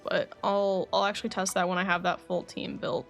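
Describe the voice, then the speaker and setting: Woman game streamer, slightly creaky voice. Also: on the low side, moderate pace. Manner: thinking aloud, animated exclamations. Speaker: livestreamer playing a game. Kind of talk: casual gaming stream chat, reading quest dialogue aloud. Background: faint game music.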